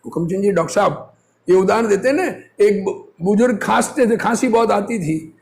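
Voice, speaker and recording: Guy teaching religion, really high pitched voice, reads a bit then explains it, keeps repeating stuff and asking questions. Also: normal speed, creaky, darkish tone. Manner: didactic, repeats phrases, often poses rhetorical questions. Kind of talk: informal sermon, reading aloud with commentary.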